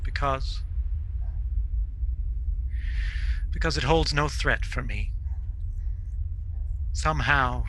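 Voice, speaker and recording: Male actor for haunted, poisoned man on his deathbed. Deliberate, portentous radio-drama horror, forceful tone, slightly noisy live recording. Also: mid-range voice. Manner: slow, halting, portentous delivery. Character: dying poisoned man seeing monstrous visions, haunted, despairing. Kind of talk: old time radio drama acting.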